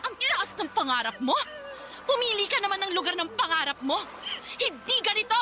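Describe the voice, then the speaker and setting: Imperious rich matriarch, very high voice, wildly swooping delivery, scornful, melodramatic radio drama. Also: female voice, big pitch swings, a little fast, very noisy. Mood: furious, scornful. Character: haughty, domineering rich mother scorning her son's love. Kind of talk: Filipino radio drama acting. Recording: music bed under dialogue.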